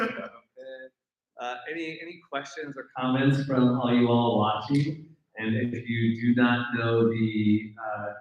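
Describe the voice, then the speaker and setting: A man casually moderating a screenwriting event as host and mentor. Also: lowish voice. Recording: very echoey room, distant dull mic.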